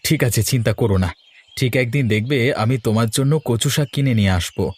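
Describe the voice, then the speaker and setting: A man's voice: medium pitch, quick pace, a little mellow, slightly rough, lightly acted. A man voicing a mild, caring village man in cartoon story dialogue. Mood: reassuring.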